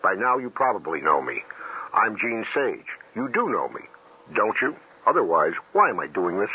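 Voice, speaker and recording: Wry husky-voiced man in a radio ad. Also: lowish voice, very animated, moderate pace. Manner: wry, self-deprecating. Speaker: restaurant owner in an ad. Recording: dull old radio broadcast.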